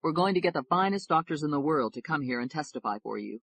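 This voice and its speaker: A woman voicing a modest, earnest young male lawyer, speaking in a quiet, measured way. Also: deep voice, expressive, slightly rough, dry recording, exaggerated voice.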